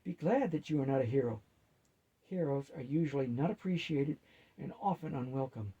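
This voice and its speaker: A man's voice: medium pitch, lively intonation, dark tone, slightly rough, lo-fi sound. A man voicing a wise, loving old grandmother who speaks firmly and emphatically. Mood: wise, warm. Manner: emphatic, firm, drawn-out delivery.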